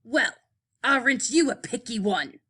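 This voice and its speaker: A woman voicing a brash, touchy female monster in a storybook, raspy and snappish. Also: a little high, lively intonation, a little slow, a bit bright, heavily acted. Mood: snappy, offended. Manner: sassy, snappish. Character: brash, touchy, slimy girl monster named Cynthia. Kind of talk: picture-book read-aloud.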